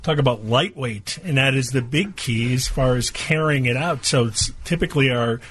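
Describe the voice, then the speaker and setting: A man's voice: mid-range voice, moderate pace, a bit bright, a little hiss or hum. A man speaking as a company executive guest on a radio show, in casual product chat.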